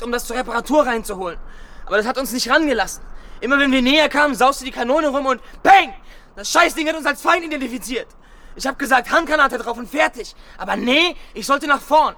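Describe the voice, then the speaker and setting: An actor playing an agitated, cornered soldier in a radio drama, bitter and defiant, blurting coarsely in short bursts. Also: male voice, very high-pitched, lively intonation, fairly quick, pushed and bright, heavily acted. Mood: furious. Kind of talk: naturalistic German radio drama acting.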